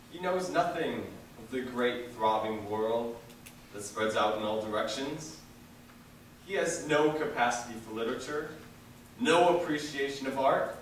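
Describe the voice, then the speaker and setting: A young man reading a political speech as theatrical oratory, declaiming with dramatic pauses and emphasis. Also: fairly high pitch, a bit bright. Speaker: reader of a historical speech. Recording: echoey hall from far off, faint noise.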